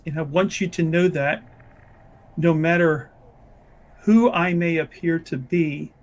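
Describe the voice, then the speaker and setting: Solemn, earnest noblewoman mage, played by a man; tabletop RPG, measured pace, some vocal fry. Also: fairly high pitch. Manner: slow, deliberate, earnest. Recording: noticeable background noise.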